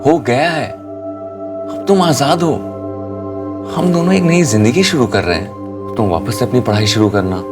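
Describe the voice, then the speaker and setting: Guy acting a young newlywed man, lowish coaxing voice, bit off mic, music behind. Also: recorded from a distance, natural voice. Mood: reassuring. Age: young adult. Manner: coaxing, reassuring. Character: smooth-talking young runaway bridegroom, a driver, persuasive and reassuring. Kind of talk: TV crime drama acting.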